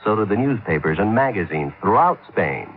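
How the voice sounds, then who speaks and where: A man's voice: fairly low pitch, very animated, normal speed. An American man reading scripted narration as a 1950s radio announcer. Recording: tinny vintage radio recording, faint music.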